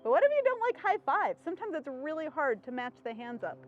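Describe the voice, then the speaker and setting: A woman's voice: high-pitched, sing-song, fast talker, phone-quality sound, over loud music. A woman hosting an interactive storytime for young children, asking simple questions and answering them.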